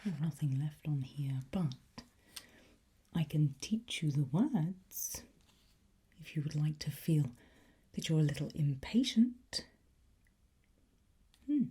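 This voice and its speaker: A woman whispering an ASMR roleplay as a sly, softly menacing demonic teacher, in a coaxing, teacherly manner with pauses. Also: low-pitched, expressive. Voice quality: breathy, mostly whispered.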